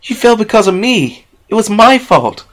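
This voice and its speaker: A man voicing a brash, tomboyish young female pegasus filly, loud and high and strained when upset. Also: big pitch swings, moderate pace. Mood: despairing.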